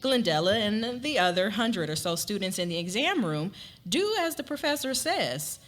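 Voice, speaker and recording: A woman's voice: medium pitch, very animated, moderate pace, a bit bright. A woman narrating a scripted story as a dramatic reading.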